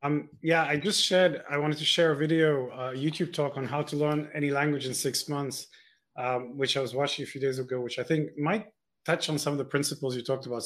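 A man hosting a casual conversation, asking his guests loose, friendly questions with some fillers. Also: medium pitch. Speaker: host.

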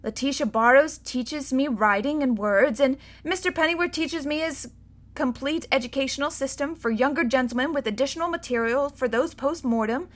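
A woman's voice: high-pitched, normal speed, pitched above the performer's own voice, lightly acted. A woman voicing a stubborn, sulky six-year-old boy who protests and whines.

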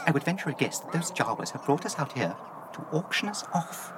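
An actor playing a prim, anxious, wordy protocol droid in a radio drama. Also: male voice, on the high side, sing-song, brisk, heavy background noise. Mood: hopeful. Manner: prim, formal, flustered, wordy. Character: fussy, anxious, prissy male humanoid protocol droid. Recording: radio drama with music underneath.

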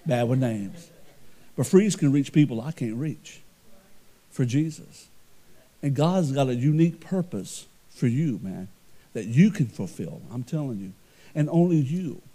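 A middle-aged man preaching a sermon as pastor, with a loud, carrying voice and an earnest, conversational delivery full of restarts. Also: mid-range voice, animated, a little slow. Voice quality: loud voice that carries.

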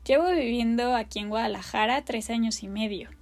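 Mexican woman in her thirties, higher voice. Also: brisk, creaky. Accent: Mexican Spanish. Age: 30s. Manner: open, earnest, warm self-introduction. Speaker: podcast host. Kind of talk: personal podcast monologue.

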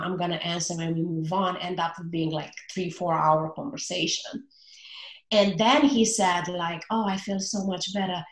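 A woman in her forties with a Romanian accent, a relationship coach speaking earnestly in a casual interview, often pausing on fillers. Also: mid-range voice, echoey room, rough recording.

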